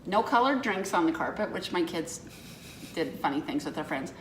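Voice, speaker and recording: A woman's voice: on the low side, animated, moderate pace, echoey room, slight background noise, poor audio. A woman in her fifties co-hosting a casual chat, telling rambling stories that keep correcting themselves. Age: 50s. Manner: rambling, self-correcting storyteller. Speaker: co-host.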